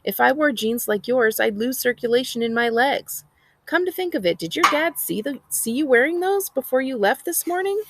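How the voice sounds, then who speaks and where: Woman reading as busy American mom, lively pitch, creaky. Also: moderate pace, background noise. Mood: teasing, chiding. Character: busy, nagging but affectionate suburban mom. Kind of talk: storybook character reading.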